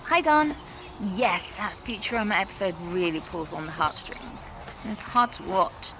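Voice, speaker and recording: A woman's voice: medium pitch, sing-song, normal speed, background noise. A woman hosting a casual, lightly scripted question-and-answer vlog. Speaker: vlogger and animator hosting a Q&A.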